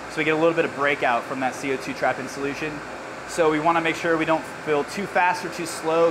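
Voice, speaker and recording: A man in his thirties working as a brewer, explaining barrel filling in a relaxed, casual way on a brewery floor. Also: a little high. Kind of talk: hands-on explanation. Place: large brewery floor with steel tanks, indoors. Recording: clear machinery and air-con noise.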